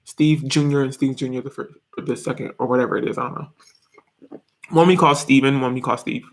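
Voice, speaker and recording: A man's voice: medium pitch, fairly even intonation, a little fast, low-quality recording. A man in his twenties chatting casually on a live stream from his bedroom, reading comments, laughing often and restarting his sentences. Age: 20s. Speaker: YouTuber reading live chat. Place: small bedroom, indoors.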